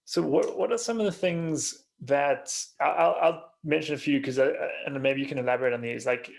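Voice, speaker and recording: A man's voice: a little high, brisk, vocal fry. A man hosting a podcast, interviewing in a casual, conversational way. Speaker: podcast host.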